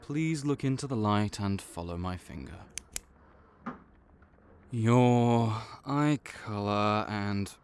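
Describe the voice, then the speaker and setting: Guy doing a calm vampire office counselor, lowish voice, super slow and clinical, audio rp. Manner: measured, clinical, patiently reassuring. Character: male vampire association counselor, calm, bureaucratic, veteran. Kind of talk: audio roleplay acting.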